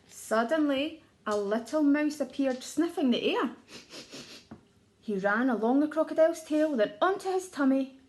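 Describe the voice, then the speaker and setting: A woman's voice: sits high, darkish tone, slight background noise. A woman reading a children's storybook aloud like an early years teacher, expressively, putting questions to her young listeners.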